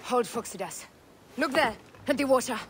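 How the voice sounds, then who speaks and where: An actress playing a stern, resolute young warrior in a video game, terse and serious. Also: female voice, high voice, animated, normal speed, fairly bright tone, a little hiss or hum. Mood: stern, alert. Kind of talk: video game cutscene acting.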